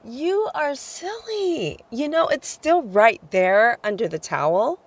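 A woman playing a teasing, good-natured newlywed bride in a radio drama, with a husky, creaky voice. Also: sits high, very animated, slow, exaggerated voice.

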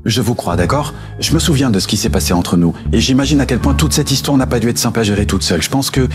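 A man playing an earnest, strained police commandant and father-to-be in an audio drama, with a halting, hesitant manner. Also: medium pitch, close to an everyday voice. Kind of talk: audio fiction dialogue. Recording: music behind the voice.

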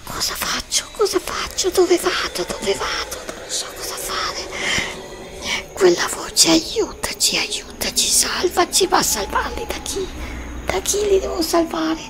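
A woman's voice: very high-pitched, very animated, unhurried, gravelly, pitched above the performer's own voice, heavily acted. A woman playing an anxious, desperate heroine lost in a magic forest, breathily repeating herself in short bursts in an ASMR roleplay. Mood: panicked, despairing, then distressed. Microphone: close USB studio microphone.

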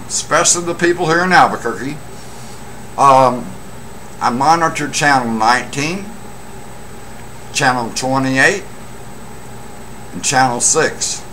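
A man's voice: mid-range voice, bright, forceful tone. A middle-aged American man talking casually to a webcam in a room at home, emphatic and boastful like a proud hobbyist. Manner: emphatic, boastful, deliberate phrasing. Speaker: CB radio hobbyist vlogger. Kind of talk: casual monologue to camera. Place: small indoor room at home. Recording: noisy home webcam recording.

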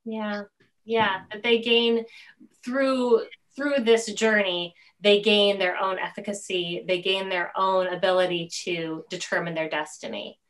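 A woman in her forties hosting a conversation over a video call, choosing her words in a halting, deliberate way. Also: medium pitch, fairly even intonation, fairly bright tone, rough recording. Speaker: interview host. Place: home room.

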